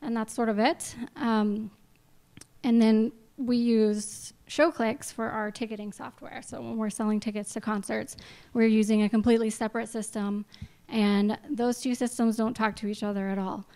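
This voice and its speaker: A woman presents a project pitch for a non-profit in an informal way. Her voice is smooth and clear, sitting a bit high for a woman. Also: steady tone, normal speed, warm tone.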